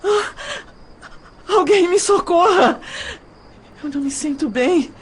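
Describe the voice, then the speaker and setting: A woman's voice: extremely high pitch, expressive, exaggerated voice. A woman playing a distraught, lovesick young woman in an audio drama, crying out and pleading in a dazed, desperate way. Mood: dizzy, pleading. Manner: pleading, desperate, drawn-out cries.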